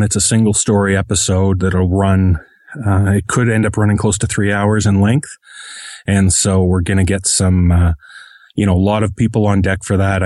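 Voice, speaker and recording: Low-voiced man chatting casually. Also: darkish tone, no room sound, clean studio sound. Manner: modest, self-deprecating. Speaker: podcast host interviewed as guest. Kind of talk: casual interview conversation.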